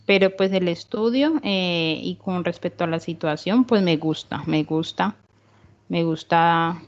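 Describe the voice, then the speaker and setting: A woman working as a sign language interpreter, voicing the guest's answers in the first person in a halting way, searching for words and using frequent fillers. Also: fairly low pitch, lo-fi sound.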